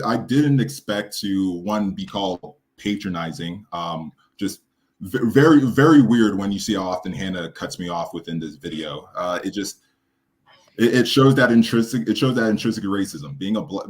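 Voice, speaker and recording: A man with a deep voice chatting on a live stream about a debate he took part in. He speaks haltingly, with many restarts and fillers, and sounds earnest. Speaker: debate participant reacting to his own debate. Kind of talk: casual live-stream chat.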